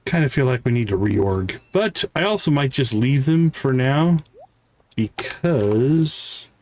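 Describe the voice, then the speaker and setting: A man's voice: medium pitch, animated, takes it slowly, a little mellow, a little hiss or hum. A man hosting a gaming livestream, thinking aloud in casual, chatty commentary as he plays.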